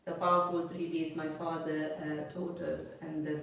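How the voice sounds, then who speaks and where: A woman in her forties with a Sri Lankan accent, giving earnest, measured interview answers as a guest. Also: sits low, flat delivery, creaky. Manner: earnest, measured, speaks in lists and principles. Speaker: guest, business consultant. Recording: roomy echo, a little distant from the mic, faint noise.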